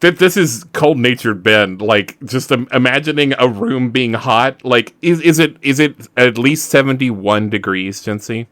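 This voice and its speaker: A man's voice: medium pitch, a little slow, few pauses, fairly bright tone. A man playing a tabletop roleplaying game, chatting casually and improvising with joking, mock complaints. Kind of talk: casual chat with in-character improvisation.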